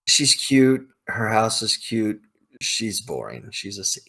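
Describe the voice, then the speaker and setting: A man's voice: lowish voice, sing-song, slow pace, creaky, fairly bright tone, breathy edge. A man hosting a video, thinking aloud in casual, opinionated commentary with emphatic, hyperbolic slang. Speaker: video host ranking game characters.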